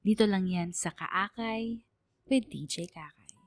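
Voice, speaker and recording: A Filipino woman working as a radio DJ, narrating a listener's letter as a scripted dramatic story in an earnest, measured way. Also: mid-range voice. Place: dry studio, music behind.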